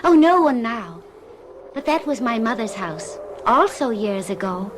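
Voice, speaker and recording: A woman's voice: on the high side, expressive, measured pace, a little hiss or hum. An actress playing an alluring, secretive young woman in a radio drama, speaking with coquettish formality. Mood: soft, wistful. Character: charming young French innkeeper's daughter, alluring and secretive. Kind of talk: old-time radio drama acting.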